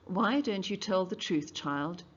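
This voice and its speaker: A woman reading the part of a patient, middle-aged male defense lawyer, questioning in a measured way. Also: mid-range voice, expressive, slightly rough. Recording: dull lo-fi recording.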